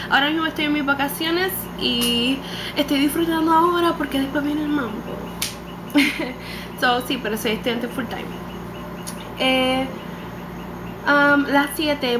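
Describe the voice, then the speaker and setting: A nineteen-year-old woman from Puerto Rico with a Puerto Rican accent, chatting animatedly to a webcam and dropping English words into her talk. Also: high voice. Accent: Puerto Rican Spanish. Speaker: beauty vlogger. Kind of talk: casual chat to camera. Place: small room indoors. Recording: home webcam recording with background noise.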